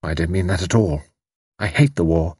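A man's voice: low voice, moderate pace, darkish tone, breathy edge, no echo, clean studio sound. A man voicing a fierce, bitter, impetuous young woman in an audiobook, with intense, emphatic delivery. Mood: bitter, impassioned. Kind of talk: audiobook character dialogue.

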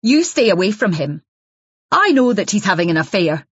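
A woman's voice: mid-range voice, sing-song, normal speed, husky, no room sound, muffled recording, voice pushed up. A woman voicing a hostile, jealous wife who accuses and sneers down the phone. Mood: accusing.

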